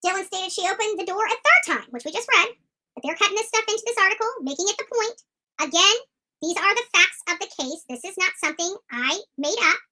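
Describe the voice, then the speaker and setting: A commentator with an extremely high-pitched voice and a sharp, bright edge. The delivery is opinionated true crime commentary, combative and emphatic, with points hammered home by repetition. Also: expressive, fairly quick, poor audio. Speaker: online commentator.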